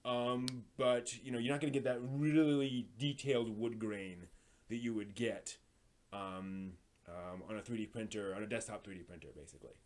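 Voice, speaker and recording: A man hosting a casual live stream, explaining hobby projects in a halting, thinking-aloud way. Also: fairly low pitch.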